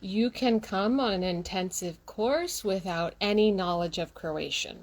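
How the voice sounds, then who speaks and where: A woman hosting a bilingual interview, speaking halting learner's Croatian full of fillers and then translating herself into English. English is her first language. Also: medium pitch, animated. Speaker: host.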